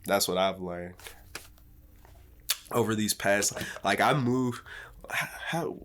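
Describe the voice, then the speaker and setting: A man's voice: on the low side, takes it slowly, noisy background. A man in his twenties chatting casually as a podcast guest, laid-back and rambling, swearing freely. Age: 20s.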